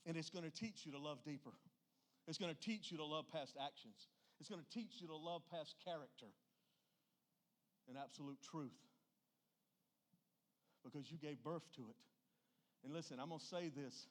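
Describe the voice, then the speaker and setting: A middle-aged man preaches in a somewhat high voice. His delivery is informal and emphatic, with folksy turns and questions thrown out to the congregation. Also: fairly bright tone. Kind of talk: informal church sermon.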